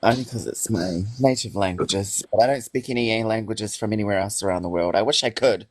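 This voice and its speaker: Slow husky man from New Zealand. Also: lowish voice. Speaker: caller. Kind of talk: casual chat.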